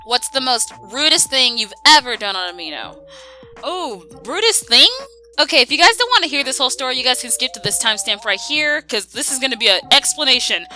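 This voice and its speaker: Woman, very bright voice, lively swings in pitch, casual Q&A chat, slangy, exclaiming. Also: fairly quick, faint music behind. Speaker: video creator answering viewer questions.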